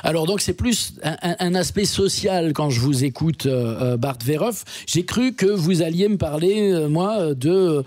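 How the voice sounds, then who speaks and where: Hesitant radio host, man, mellow tone. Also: on the high side, measured pace, few pauses, no room sound. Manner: hesitant, many fillers and restarts. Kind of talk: radio interview.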